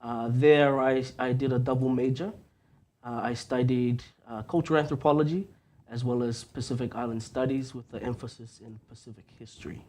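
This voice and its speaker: A young man guesting on a radio chat show, talking softly with many ums and uhs. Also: medium pitch, steady tone, brisk.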